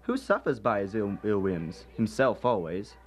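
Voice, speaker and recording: An actor playing a cheerful, forgiving young nephew in a radio drama, good-humoured and animated. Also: male voice, fairly low pitch, normal speed. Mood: amiable, pitying.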